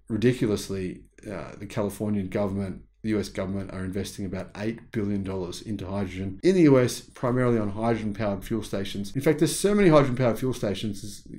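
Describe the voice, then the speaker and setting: Chatty Australian man, mellow voice. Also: mid-range voice. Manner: animated, chatty, jokey asides. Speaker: channel host. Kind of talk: casual to-camera commentary. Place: small room with curtains.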